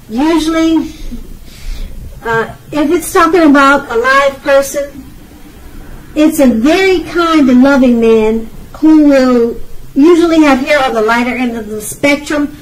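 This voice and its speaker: A woman giving an unscripted tarot reading, speaking to the viewer in a reassuring, advising way with hesitations and repeated phrases. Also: sits high. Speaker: tarot card reader. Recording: voice distant from the mic.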